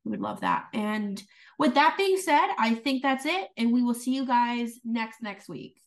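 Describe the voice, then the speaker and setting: A woman's voice: a little high, very animated, unhurried, far from the mic. A young adult woman co-hosting a podcast in casual chat, giggly and full of "like"s. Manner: giggly, filler-heavy, with many "like"s. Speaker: podcast co-host.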